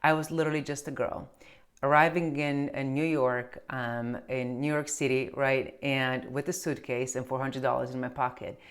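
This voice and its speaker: Chatty low-voiced woman. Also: fairly even intonation, moderate pace. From Romania. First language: Romanian. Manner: chatty, many fillers and restarts. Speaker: accountant and business owner. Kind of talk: casual chat to camera.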